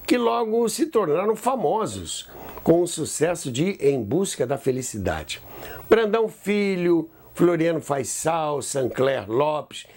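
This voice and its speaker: A Brazilian man hosts a scripted history narration. His voice sits fairly high and swings widely in pitch. He tells it like a practised storyteller, with measured, emphatic phrasing. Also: darkish tone. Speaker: host of a radio history show.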